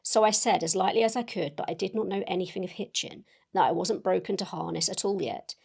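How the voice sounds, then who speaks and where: A woman voicing an excitable young Victorian lady reading out her sentimental letter in a gushing, earnest way. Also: medium pitch, a little fast, gravelly, breathy edge. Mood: happy, gushing. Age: young adult.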